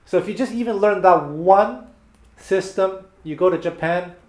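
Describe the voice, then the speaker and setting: A man in his thirties giving a casual guitar lesson, explaining lively and gesturing between bits of playing, filmed by a fixed camera in a small bare room. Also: high-pitched, moderate pace. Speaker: guitar teacher. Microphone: fixed camera at a few meters. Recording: roomy echo with guitar playing.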